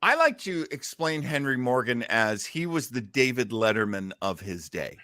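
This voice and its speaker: A man's voice: mid-range voice, big pitch swings, moderate pace, bright, forceful tone, no echo, studio quality. A man hosting a casual podcast about old-time radio, chatting and commenting with wisecracking banter. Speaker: podcast host.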